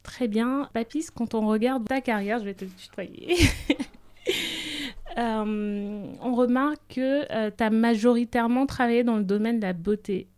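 A woman hosting a career podcast, asking her guest questions in a friendly, measured way. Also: a little high, darkish tone, clean tone. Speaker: podcast host. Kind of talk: podcast interview.

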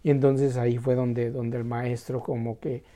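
A man telling a Zen story in a casual, halting way, full of restarts and tag questions. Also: medium pitch, little pitch movement, dark tone.

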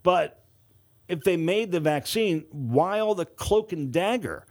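A man's voice: high-pitched, animated, slow, voice pushed up, full character voice. A man voicing a flirtatious, sardonic woman nurse in an audiobook, with teasing, emphatic delivery. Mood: puzzled. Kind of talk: audiobook character dialogue.

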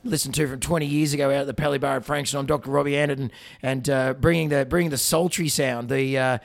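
An Australian man in his forties, a veterinarian hosting a podcast, hoarse and gravelly after a night of singing, telling rambling stories with lots of fillers and laughs. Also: mid-range voice, steady tone, quick pace. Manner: rambling storyteller who laughs often. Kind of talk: casual banter with filler words.

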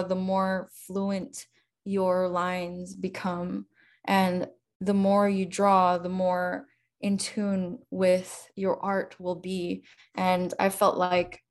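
A young woman artist guesting on a podcast, chatty and animated, peppering her advice with fillers. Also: mid-range voice. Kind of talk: casual interview chat.

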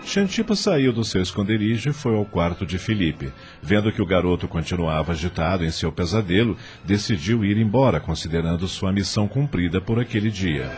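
A man's voice: low-pitched, lively intonation, a little fast, few pauses. A man with a Brazilian accent reading scripted narration for a radio drama. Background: music under the voice.

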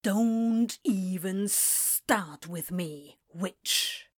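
Lady doing a grumbly sly snake guy, real slow drawl, audio drama style. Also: mid-range voice. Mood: grumbling. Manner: drawling, draws out vowels. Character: sly, grumbling male snake, slouchy and evasive. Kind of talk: acted fiction podcast dialogue.

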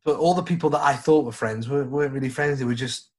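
A man's voice: mid-range voice, fast talker. A middle-aged man, a guest and former radio DJ, talking reflectively and fondly in casual chat. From Stoke-on-Trent, England. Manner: reflective, fond, calls the listener mate.

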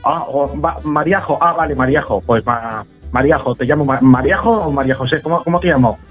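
A man's voice: mid-range voice, brisk, faint music behind. Nervous stammering young man. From Madrid, Spain. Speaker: new radio intern.

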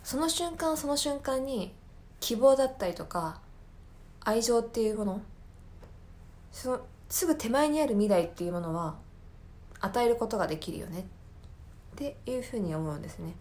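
A woman hosting a podcast in a reflective solo talk, speaking earnestly and often pausing to search for her words. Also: on the high side, expressive, a little mellow. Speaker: podcast host. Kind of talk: reflective solo talk, personal film commentary.

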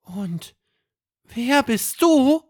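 A man's voice: very high-pitched, lively intonation, drawn-out words, darkish tone, dry recording, studio quality, voice pushed up, heavily acted. A man voicing a curious, friendly, easily amazed young boy who asks wondering questions in a children's bedtime story.

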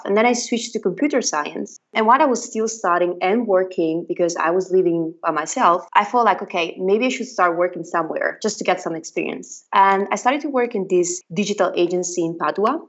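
A young woman from northern Italy with an Italian accent, chatting as a guest about her career, telling her story in a lively, self-deprecating way. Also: mid-range voice, lo-fi sound. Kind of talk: conversational interview.

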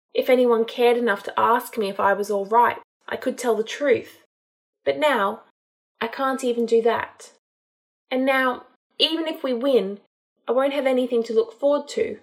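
A bitter young witch played by a woman audiobook reader, drunk and tearful. She lashes out defiantly and emotionally in a raised, high voice. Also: close to an everyday voice. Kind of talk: dramatised audiobook narration.

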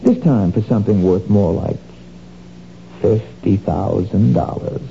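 A man's voice: lowish voice, unhurried, vocal fry, dark tone. An actor playing a sly, menacing male crook who wheedles and threatens, in a 1970s radio drama. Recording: dull lo-fi old radio recording, faint noise.

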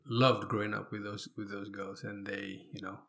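Low-voiced man telling his life story. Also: little pitch movement, normal speed, creaky, a bit bright. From Fiji. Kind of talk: conversational personal storytelling.

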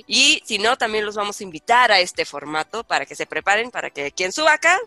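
A woman's voice: medium pitch, lively intonation, fairly quick, bright, forceful tone, no echo, soft background music. A woman hosting a webinar as a casual group chat, playful and teasing in manner. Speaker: webinar host.

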